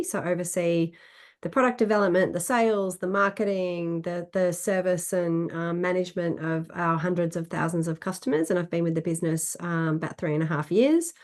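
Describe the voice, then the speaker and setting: A woman with a slightly low voice introduces herself as a judge on a video call. She sounds upbeat and warm and uses the odd filler. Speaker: competition judge, business executive.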